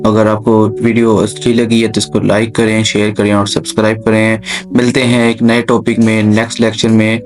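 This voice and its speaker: A man's voice: lowish voice, completely flat delivery, normal speed. A man with a Pakistani accent giving an explanatory lecture in simple terms, addressing listeners directly in an instructive way. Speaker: law lecturer and channel host. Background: background music.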